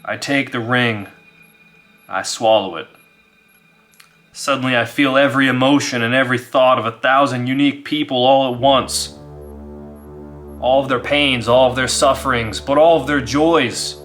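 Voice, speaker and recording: A man's voice: mid-range voice, steady tone, moderate pace, long pauses, fairly bright tone, faint music behind. A man who hosts a gaming channel, talking to his audience and telling stories of solo play. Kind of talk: dramatized horror story narration and casual review.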